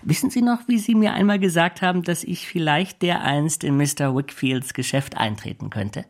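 An actor playing an obsequious, scheming clerk in a German radio drama, unctuous and full of false humility. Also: male voice, fairly high pitch, normal speed, natural voice. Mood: gloating humility. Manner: fawning, self-abasing, unctuous.

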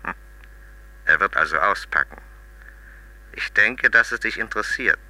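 An actor playing a shrewd, commanding investigator in a crime radio play, clipped, sardonic and authoritative. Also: male voice, fairly low pitch, lively intonation, moderate pace, pushed and bright, low-quality recording. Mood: threatening.

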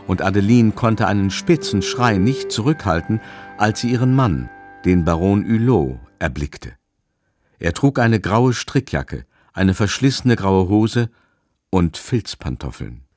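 A man narrating a literary radio play in a measured storytelling manner. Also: on the low side, animated, darkish tone, soft background music.

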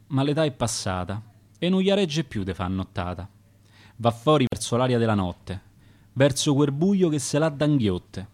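A man with a Roman accent recites rhymed dialect sonnets in a steady, rhythmic way. His pitch is lively and sits at a middle height for a man. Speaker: poet reading his work. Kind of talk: radio dialect poetry reading.

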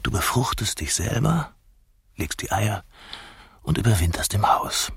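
An actor playing a stubborn, world-weary man in a radio play, with a gravelly, husky voice and a dry, musing, contrary manner. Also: fairly low pitch, sing-song, measured pace, fairly bright tone, dry recording, heavily acted.

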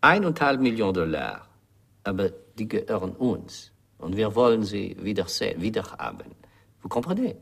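A menacing gangster with a French accent in a radio drama. His voice is low and gravelly and swings widely in pitch, always falsely friendly, politely hesitant in foreign phrasing. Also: big pitch swings, moderate pace, exaggerated voice. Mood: polite menace.

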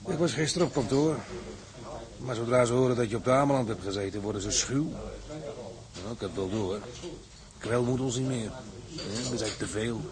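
An actor playing a gruff, cynical seaman in a Dutch audio drama, blunt, resigned and colloquial. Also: medium pitch, a little fast, long pauses, a crowd in the background, lightly acted, low-quality recording.

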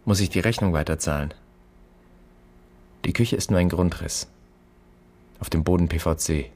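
An actor playing a pensive man taking stock of an empty flat, terse and questioning, in a radio drama. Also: deep voice, normal speed. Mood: pensive, searching. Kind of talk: literary radio drama monologue.

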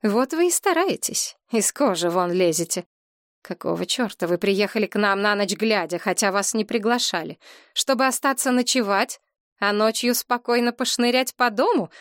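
A woman's voice: medium pitch, expressive, normal speed, no room sound, clean studio sound, voice pushed up, natural voice. A woman voicing a fierce young woman who accuses relentlessly in a heated, cutting voice. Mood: accusing. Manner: heated, hissing through teeth when quiet.

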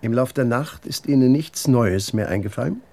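An actor playing a dry police inspector in a radio drama, formally questioning with sardonic jabs. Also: male voice, mid-range voice, moderate pace, vocal fry, warm tone, a little hiss or hum, natural voice. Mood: brisk, questioning.

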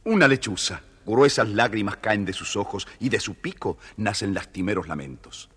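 A man's voice: on the low side, animated, moderate pace, fairly bright tone, slightly rough. A man narrating a dramatised children's story, expressively addressing his young listeners.

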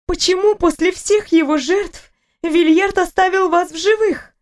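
A woman voicing a fussy, talkative young female nurse with a high, ringing voice. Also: measured pace. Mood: chattering.

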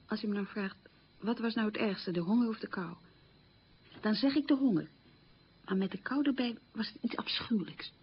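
An actress playing a reflective middle-aged woman recalling wartime childhood hunger in a halting, emphatic radio monologue, with a husky, creaky voice. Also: medium pitch, a little hiss or hum, natural voice. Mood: wistful, emphatic. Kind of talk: radio play monologue, conversational reminiscence. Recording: dull lo-fi radio recording.

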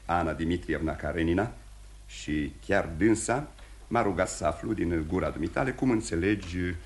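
Aristocratic prince, very low voice, measured, tactful, formal, radio theatre. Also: male voice, lively intonation. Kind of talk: mid-century Romanian radio theatre acting.

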